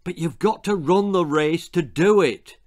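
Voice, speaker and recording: A man's voice: on the high side, unhurried, a little mellow, pitched above the performer's own voice, lightly acted. Man acting a bawling old running coach. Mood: firm, insistent. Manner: bawling, commanding, emphatic. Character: stern, urgent old male running coach.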